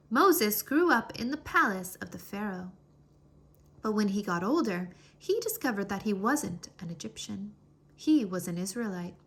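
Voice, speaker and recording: A woman reading a children's history story aloud in an expressive, deliberate, scripted storytelling manner. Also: medium pitch, husky. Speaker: storybook reader.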